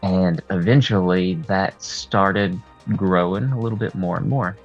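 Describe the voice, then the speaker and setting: A man with a husky voice talking candidly and at rambling length as a guest in a casual interview. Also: low voice, a little slow. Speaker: horror artist and video creator, interview guest. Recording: dull lo-fi sound, music behind. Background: music.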